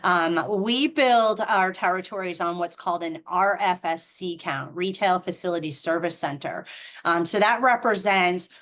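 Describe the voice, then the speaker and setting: Middle-aged woman, Boston accent, lowish voice, chatty franchise explainer on a video call. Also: moderate pace, a bit bright. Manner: chatty and explanatory, frequent ums and restarts. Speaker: franchise representative.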